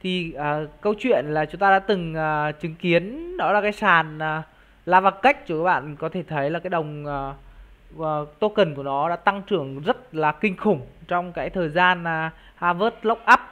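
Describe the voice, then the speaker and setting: An online video host with a mid-pitched voice gives an informal screen-share review. The delivery is explanatory and addressed straight to the viewers, step by step. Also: normal speed, a bit bright. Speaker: YouTube crypto project reviewer and channel host.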